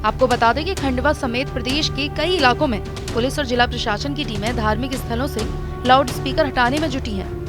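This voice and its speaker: Woman doing scripted news report voiceover, pretty high voice, music playing behind. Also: fairly quick.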